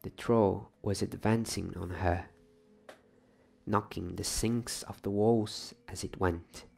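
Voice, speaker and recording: A man reading a story aloud in a soft, hushed, breathy ASMR style, slipping at times into whispers. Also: low voice, steady tone, slow pace.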